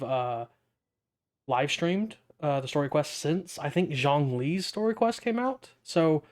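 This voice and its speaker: Casual, slightly high-voiced male gamer. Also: a little mellow. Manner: rambling, self-interrupting. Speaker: gaming video creator. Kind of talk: casual gameplay commentary.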